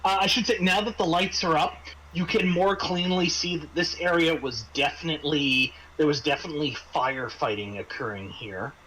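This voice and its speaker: A man narrating an improvised tabletop game as game master, often halting and repeating words as he searches for phrasing. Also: on the high side, bright, forceful tone, slight background noise, rough recording.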